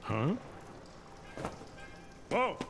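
An actor playing an earnest, good-hearted male superhero in an animated video game, hearty and encouraging. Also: very slow, heavy background noise. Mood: startled, relieved. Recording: loud music underneath.

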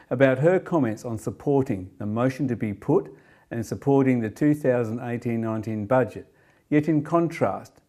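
A man's voice: mid-range voice, darkish tone. An older man presenting community TV, narrating scripted links to camera. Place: studio in front of a backdrop.

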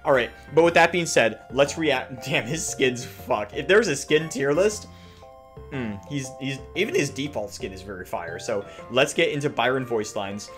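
A man's voice: mid-range voice, expressive, normal speed, fairly bright tone, soft background music. A young man hosting a gaming channel with casual, jokey, exaggerated reaction commentary full of crude slang. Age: young adult. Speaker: YouTube gaming host. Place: small home room.

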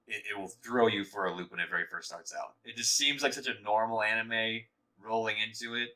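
A man with a lowish voice that is strikingly bright and forceful, chatting casually while he plays a game. He talks slowly and haltingly, restarting his sentences as he goes. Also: fairly even intonation, low-quality recording. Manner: drawn-out, halting delivery with many restarts. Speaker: co-host of a gaming channel. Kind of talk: casual chat while gaming.

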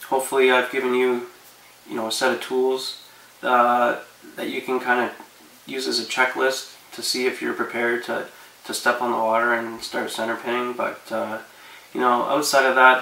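A man in his thirties giving relaxed, rambling fishing advice to camera from his living room couch, with lots of fillers. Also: medium pitch, normal speed, creaky, roomy sound, distant mic, poor audio. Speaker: fishing vlogger.

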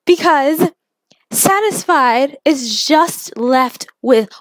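A woman host talking casually and excitedly to her listeners in a gushing way. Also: sits high, extremely slow, no room sound, rough recording.

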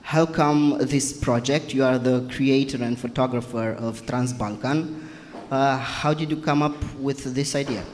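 A man's voice: medium pitch, steady tone, unhurried, darkish tone, a little hiss or hum. A man moderating a panel discussion, asking questions politely and hesitantly with many fillers. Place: museum exhibition hall. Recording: hall reverb, faint ambience.

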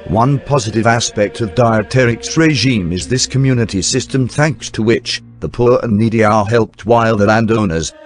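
A man reading scripted documentary narration in a formal, measured newsreel style. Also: fairly low pitch. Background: background music.

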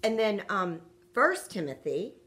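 A woman's voice: mid-range voice, very animated, measured pace, fairly bright tone. A middle-aged woman co-hosting a casual devotional talk and reading scripture in a conversational, affirming manner. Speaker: co-host and scripture reader. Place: furnished room at home.

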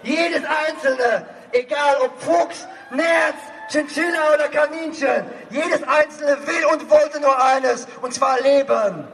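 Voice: high-pitched, slow, few pauses, a bit bright. A man delivering an impassioned speech as a speaker at an outdoor demonstration. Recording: echoey outdoor rally, distant, noisy crowd.

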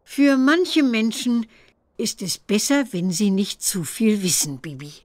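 A woman's voice: medium pitch, very animated, unhurried, dry recording. A woman voicing a stern, schoolmistressy old witch examiner in a children's cartoon game. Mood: knowing.

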